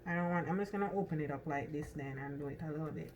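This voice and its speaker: A woman's voice: low-pitched, rapid-fire, muffled recording, heavy background noise. A woman with a Jamaican accent chatting casually through a cooking demonstration in her home kitchen, thinking aloud with lots of ums and restarts. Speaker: cooking vlogger.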